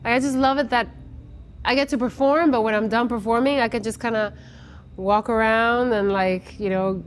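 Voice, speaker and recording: A woman's voice: on the high side, fairly even intonation, moderate pace, a little hiss or hum. A woman in her forties from Guatemala, a musician answering interview questions in a casual, chatty way, often restarting her sentences. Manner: chatty with many restarts and fillers. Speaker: musician guest. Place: outdoors at a festival.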